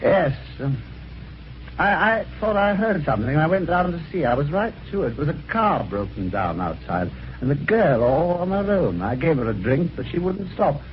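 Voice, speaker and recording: Polite british husband in an old radio mystery, bit dim and hesitant, really up and down voice, muffled old recording. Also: male voice, fairly high pitch, sing-song, moderate pace. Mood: flustered. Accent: British English. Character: well-mannered, slightly dim English husband recounting a mystery. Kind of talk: old-time radio mystery acting, narration and dialogue. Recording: dull, faintly noisy vintage radio recording.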